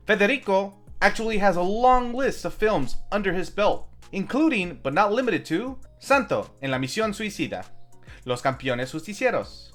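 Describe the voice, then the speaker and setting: A man's voice: high-pitched, a bit bright. A man hosting a film review, reading scripted narration with playful, wry asides. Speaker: host of a film review show.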